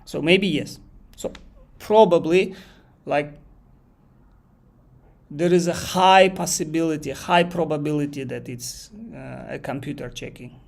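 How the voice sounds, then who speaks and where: A man's voice: a little high, a little slow, vocal fry, long pauses. A man from Kazakhstan with a Kazakh accent, teaching a live online English exam lesson and thinking aloud as he goes. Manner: thinks aloud, repeats phrases, reads chat questions aloud.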